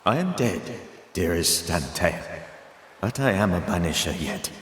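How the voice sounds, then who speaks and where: Deep, echoing ghostly mentor. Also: male voice, big pitch swings, measured pace, fairly bright tone, heavily acted. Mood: solemn warning. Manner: solemn, admonishing. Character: grave, regretful ghost of an old banisher mentor. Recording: echoey long reverb, faint noise.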